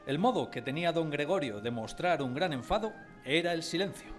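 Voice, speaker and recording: A man playing a narrator who fondly recalls his village schooldays, in expressive scripted radio drama storytelling. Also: on the high side, brisk, soft background music, close to an everyday voice. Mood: reminiscent.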